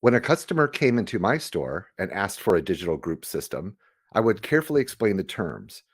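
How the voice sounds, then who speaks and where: A man's voice: low voice, very animated, fairly quick, husky, no echo, studio quality. A man reading scripted audiobook narration. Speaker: audiobook reader.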